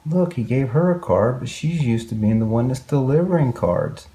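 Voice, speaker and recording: A man's voice: mid-range voice, expressive, moderate pace, mellow. A man reading a children's story aloud expressively, with exclamations and wondering asides to young listeners.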